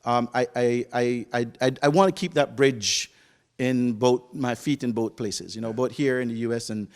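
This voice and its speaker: Man from Belize, college faculty, earnest, halting, mid-pitched. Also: steady tone. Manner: halting, many fillers and restarts, earnest. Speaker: interview guest, college faculty. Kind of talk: casual interview answers.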